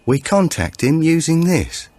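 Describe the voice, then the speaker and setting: A man's voice: fairly high pitch, sing-song, unhurried, darkish tone, dry recording, voice pushed up. Menacing big-brother burglar played by a male narrator: raised voice, pushy, insistent, for a thriller audiobook. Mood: intense.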